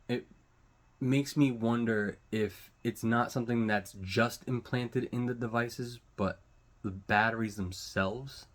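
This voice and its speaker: A man hosting his own online show, giving casual unscripted news commentary in a halting, rambling way, searching for words and swearing casually. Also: on the low side.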